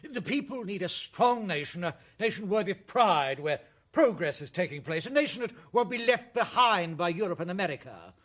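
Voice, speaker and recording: An actor playing a smug, pompous prime minister of an authoritarian regime in a satirical radio drama, oily and declamatory. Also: male voice, sits high, sing-song, normal speed, hardly any pauses, fairly bright tone, exaggerated voice.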